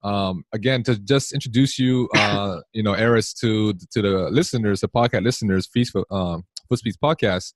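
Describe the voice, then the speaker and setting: Chatty low-voiced male podcast host. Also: moderate pace, hardly any pauses, no room sound. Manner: rambling with frequent fillers. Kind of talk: casual podcast chat.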